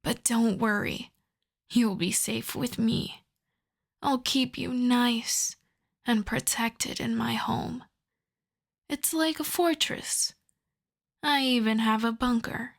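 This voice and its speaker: An obsessive, seductive vampire woman , acted by a woman in an ASMR audio drama. Her voice sits a little high and moves very slowly. She coaxes the listener intimately, with smug authority, and often slips toward a breathy whisper. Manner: slow, intimate, coaxing, slipping into breathy whispers. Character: possessive, seductive yandere female vampire, smugly commanding.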